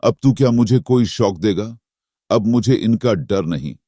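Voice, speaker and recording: Brief: a menacing, taunting patient ghost in a cartoon horror story, as the male narrator puts him on; low, dark voice. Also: lively intonation, a little slow, no room sound, clean studio sound.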